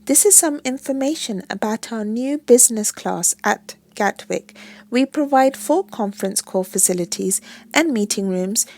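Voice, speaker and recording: A woman's voice: on the high side, expressive, a little mellow. A woman voicing a polite, helpful female flight attendant in a storybook reading. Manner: courteous, helpful, brisk.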